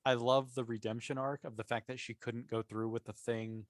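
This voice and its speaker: A man's voice: mid-range voice, flat delivery, normal speed, no room sound. Explanatory man in casual discussion, dry sound. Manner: thinks aloud, explanatory. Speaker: podcast panelist. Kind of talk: casual opinionated discussion of a TV series.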